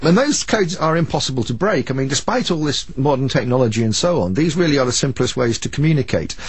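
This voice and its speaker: A man's voice: mid-range voice, expressive, quick pace, breathy edge, no echo. A man being interviewed, explaining things in informal, spontaneous talk.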